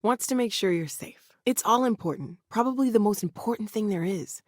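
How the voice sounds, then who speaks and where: An actress playing an earnest, caring woman scientist in a video game, in a conversational, thoughtful and expressive manner. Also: mid-range voice, a little fast, no room sound, clean studio sound, close to an everyday voice. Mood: gentle, caring. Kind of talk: naturalistic video game dialogue acting.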